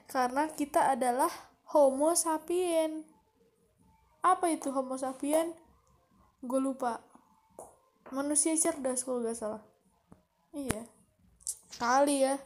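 High-voiced young woman rambling, Jakarta slang. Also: a little mellow. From Bogor, Indonesia. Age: young adult. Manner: rambling, chatty, talks to herself. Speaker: podcast host. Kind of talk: casual solo podcast chat.

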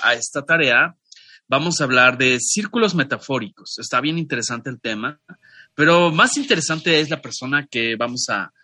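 A man's voice: medium pitch, sharp tone, no echo. A Mexican man co-hosting a podcast, chatting casually and introducing a guest with rambling asides and restarts. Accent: Mexican Spanish. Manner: discursive, with frequent restarts and asides. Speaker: podcast co-host.

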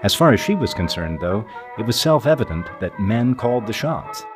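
A man reading scripted documentary narration for a podcast in a measured, literary manner. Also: fairly low pitch, big pitch swings, music in the background.

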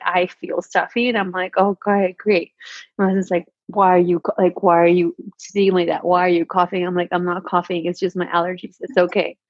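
Woman, thirties, casual chat, animated storyteller, dry room, slightly muffled audio. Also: mid-range voice, no room sound. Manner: chatty, animated storytelling with quoted exchanges.

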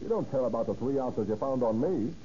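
A man's voice: medium pitch, lively intonation, fairly quick, warm tone, a little hiss or hum, close to an everyday voice. An actor playing a brazen, wheedling young male small-time crook, argumentative and slangy, in a 1930s radio crime drama. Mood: wheedling, bargaining. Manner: slangy, argumentative tough talk. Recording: muffled old radio recording.

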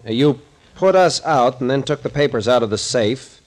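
An actor playing a tough, skeptical captain in a 1940s radio thriller, questioning bluntly with wry, colloquial phrasing. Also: male voice, medium pitch, animated, normal speed, natural voice. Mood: interrogating. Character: gruff, skeptical tough-guy captain turned investigator. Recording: old scratchy 1940s radio recording.

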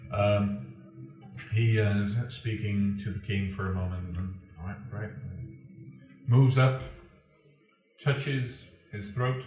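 A man narrating a tabletop game as game master, pausing often with ums and uhs. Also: fairly low pitch. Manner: halting, many ums and uhs. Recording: echoey room, far from the mic.

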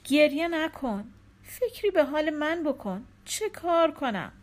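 A woman's voice: high voice, animated, pitched above the performer's own voice. A woman voicing a distraught young wife grieving her stillborn baby, pleading and tearful. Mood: soft, uneasy. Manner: pleading, tearful, rising to cries.

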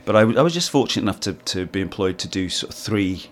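Male actor, interview guest, very low husky voice, meandering self-deprecating delivery. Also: expressive, fast, slight background noise. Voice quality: hoarse, husky when tired. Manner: rambling, restarts often, self-deprecating. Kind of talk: casual interview chat.

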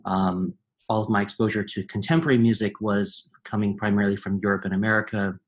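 A man's voice: on the low side, steady tone, fast. A man speaking as a guest in a casual conversation, thoughtful and searching for his words as he goes. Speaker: guest composer.

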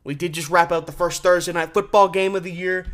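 A man in his twenties with a slightly high voice. He hosts a sports talk show alone on campus radio, speaking informally and with emphasis, dropping in casual slang. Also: steady tone, a little fast, a bit bright. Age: 20s.

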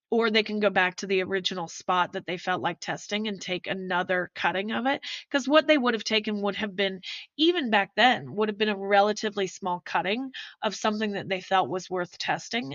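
A woman podcast host talks through details in a measured, explanatory way. Her delivery is conversational, at a middling pitch. The sound is very dry and slightly dull, with little room in it. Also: a bit bright, no room sound. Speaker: true crime podcast host. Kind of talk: conversational explanation of forensic evidence.

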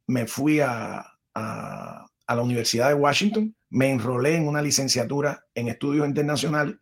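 A man's voice: mid-range voice, expressive, moderate pace, slightly rough. A middle-aged Cuban man, a Spanish teacher and podcast guest, telling his life story in a rambling, conversational way full of restarts. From Cuba. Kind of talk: conversational interview.

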